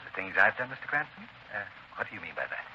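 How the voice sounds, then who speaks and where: An aging prison guard from a 1930s radio drama, sinister and bitter. His voice is very low and swings widely, with a hard, piercing edge. He speaks in halting, insinuating phrases full of quiet menace. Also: very animated, full character voice. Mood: flustered, evasive. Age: older. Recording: muffled, lightly noisy 1930s radio broadcast.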